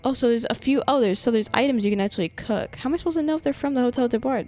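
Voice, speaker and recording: A woman's voice: fairly high pitch, rapid-fire, a little mellow. A young woman livestreaming a game, commenting casually and playfully, exclaiming often and reading dialogue aloud. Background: game music.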